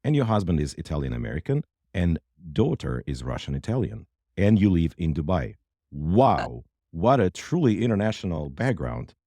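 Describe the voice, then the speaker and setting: Very deep-voiced male podcast host. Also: very animated, moderate pace, mellow, no echo. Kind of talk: prepared podcast introduction and questions.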